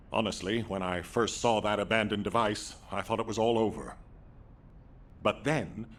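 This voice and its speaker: An actor playing an earnest, anxious military commander in a video game, with a husky voice. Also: male voice, medium pitch, fairly bright tone. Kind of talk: dramatic video game voice acting.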